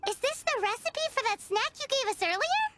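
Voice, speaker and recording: A tiny fairy sidekick from an anime game in an extremely high squeak. The delivery is childlike, naive and bouncy in pitch, with clear music behind it. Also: female voice, expressive, brisk. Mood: eager. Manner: cutesy, naive, eager. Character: tiny childlike fairy companion who speaks in third person. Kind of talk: anime-style video game voice acting.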